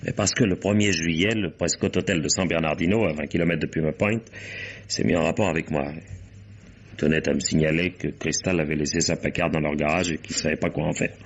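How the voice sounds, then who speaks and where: Gruff, very deep-voiced imperious boss. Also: male voice, steady tone, brisk, slight background noise. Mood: explaining. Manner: brusque, domineering, blunt. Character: gruff, imperious businessman, a wronged husband. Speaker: actor in a radio mystery. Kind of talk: French detective radio drama acting.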